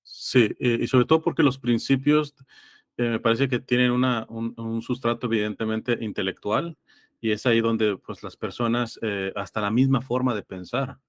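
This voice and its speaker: A man's voice: medium pitch, fairly even intonation, moderate pace, dry recording, studio quality. A man with a slightly congested throat, speaking hesitantly and reflectively as an interview guest in a theological conversation. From Los Angeles, California. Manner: hesitant, reflective, explains through examples and citations.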